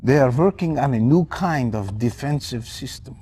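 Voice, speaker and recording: An actor playing an excitable, distracted elderly male scientist who talks in halting, rambling bursts in a video game cutscene. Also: mid-range voice, breathy edge. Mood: excited.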